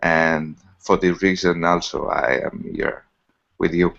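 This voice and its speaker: A man talking in halting, apologetic English, an IT teacher introducing himself to an online class. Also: low voice, steady tone, gravelly, fairly bright tone. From born in Italy, lives in Spain. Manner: halting, apologetic, self-deprecating. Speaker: IT teacher, student. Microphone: video call. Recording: muffled video call audio.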